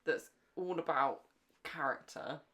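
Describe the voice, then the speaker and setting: A woman with a slightly low voice talks as co-host of a casual fan podcast. She is agreeable and slangy, readily chiming in as part of a relaxed back-and-forth chat. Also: measured pace.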